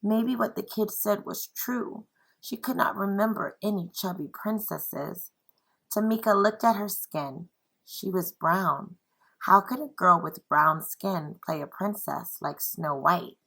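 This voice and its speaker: A woman teacher reading aloud and speaking to children in an expressive, encouraging, deliberate way. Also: mid-range voice, gravelly, slightly rough.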